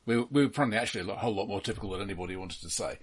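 Husky, low-voiced man chatting about films. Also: rapid-fire. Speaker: film podcast co-host. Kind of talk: casual film discussion.

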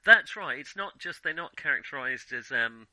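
A middle-aged man in a casual unscripted film discussion as a podcast co-host, often halting and correcting himself mid-thought. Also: mid-range voice, lively intonation, bright, forceful tone, low-quality recording. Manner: halting, self-correcting, trailing restarts.

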